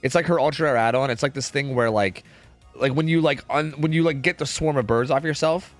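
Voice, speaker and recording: A man's voice: mid-range voice, a little fast, faint music behind. A man chatting casually while streaming a game, rambling, with many fillers and casual swearing. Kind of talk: casual chat while gaming.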